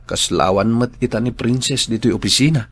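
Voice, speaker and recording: A man acting a shy, earnest young office worker in love with a coworker, soft-spoken, in a radio drama. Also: medium pitch, a little fast, breathy edge, faint music behind.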